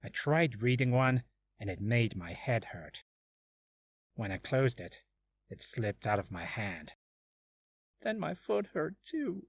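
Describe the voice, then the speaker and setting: A man playing an excitable, flustered young defence lawyer from a video game, halting but given to loud protest. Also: medium pitch, breathy edge, full character voice. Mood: glum, reflective. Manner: halting, bursts into shouted protest. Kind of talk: video game character acting.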